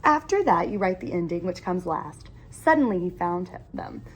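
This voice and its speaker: Lively woman reading a picture book aloud. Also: lowish voice, slight background noise. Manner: animated, deliberate storytelling with emphatic phrasing. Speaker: read-aloud storyteller. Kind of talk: expressive picture-book read-aloud for children.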